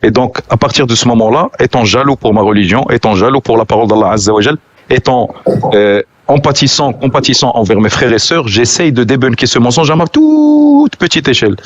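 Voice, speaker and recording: A man hosting a live stream, talking rapidly and earnestly about religion, often correcting himself mid-sentence. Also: medium pitch, lively intonation, no echo, highs cut off. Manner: rapid, preachy, self-correcting. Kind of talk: religious debate talk.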